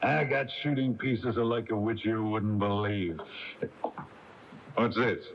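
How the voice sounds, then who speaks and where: A man's voice: fairly low pitch, steady tone, takes it slowly. An actor playing a hearty, overbearing middle-aged father in a radio drama, with a folksy manner. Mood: pushy. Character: hearty, pushy, hard-drinking gun-loving father. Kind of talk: 1980s radio drama acting. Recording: dull, noisy taped AM radio broadcast.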